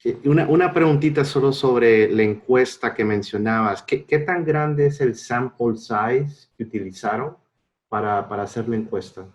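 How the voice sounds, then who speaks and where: A man's voice: mid-range voice, normal speed. A man from Honduras working in hotel consulting, asking questions on a webinar video call in a hesitant way with restarts. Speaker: hospitality consultant, audience member.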